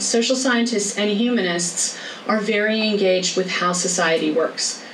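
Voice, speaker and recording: Woman running a workshop, chatty and quick, mic kinda far off, echoey room. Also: mid-range voice, a bit bright. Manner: brisk, chatty, laughs easily. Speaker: career workshop speaker. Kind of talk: informal talk with Q&A. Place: lecture room. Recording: echoey room, distant mic, faint noise.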